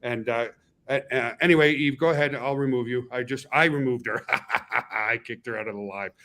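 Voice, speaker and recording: A man's voice: mid-range voice, moderate pace, pushed and bright. A man hosting a weekly webinar on a video call, upbeat and rambling, often restarting his sentences. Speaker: host.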